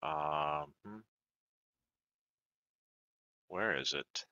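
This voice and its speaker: A man chatting casually and thinking aloud in an unhurried way while playing an online card game. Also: a bass voice, sharp tone, dry recording, lo-fi sound.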